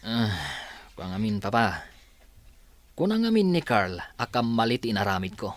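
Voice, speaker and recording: A man's voice: lowish voice, sing-song, normal speed, no echo. A man playing an evasive, self-justifying son in an Ilocano radio drama, with a hesitant delivery. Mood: hesitant explaining.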